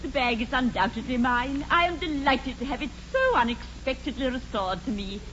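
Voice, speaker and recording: An actress playing a prim, proper older English governess in a 1940s radio comedy, prissy and formal yet emotional. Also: female voice, high-pitched, sing-song, normal speed, fairly bright tone. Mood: flustered delight. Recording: muffled, noisy 1940s radio broadcast.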